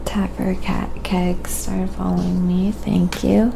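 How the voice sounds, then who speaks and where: Slow, creaky-voiced woman, quietly chatting as she paints. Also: on the low side, flat delivery, background noise. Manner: soft-spoken, unhurried thinking aloud while working. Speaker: livestream painter and host. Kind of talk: quiet ASMR painting livestream, casual chat with viewers.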